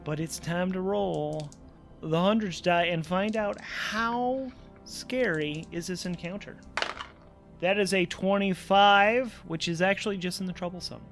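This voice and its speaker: High-voiced man narrating a solo game. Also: unhurried. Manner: animated, conversational, thinking aloud. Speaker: host and solo game master. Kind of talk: solo tabletop game actual-play narration. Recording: background music under the voice. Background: music.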